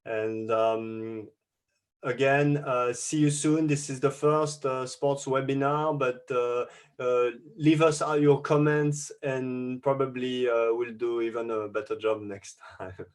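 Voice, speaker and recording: A man moderating an online webinar over a video call, talking in a halting way with many restarts and fillers. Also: mid-range voice, fairly even intonation, clear, resonant tone. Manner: says a French thank-you.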